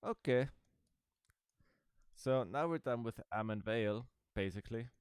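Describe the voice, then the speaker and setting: A man's voice: medium pitch, unhurried, clear, resonant tone, no echo. A man giving casual, rambling commentary over a game he is playing, with dry, sarcastic asides. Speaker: gaming video creator.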